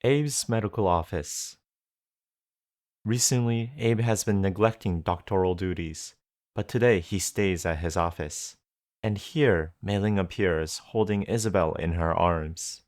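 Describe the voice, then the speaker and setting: A man plays the narrator of a radio drama. His voice sits fairly low. He delivers scripted scene-setting in a matter-of-fact way. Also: measured pace, darkish tone.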